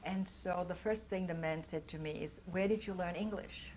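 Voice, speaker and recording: A woman's voice: lowish voice, normal speed, a little mellow, slight background noise, low-quality recording. An older woman whose first language is German, telling her life story in an oral history interview, reflective and earnest as she searches for words.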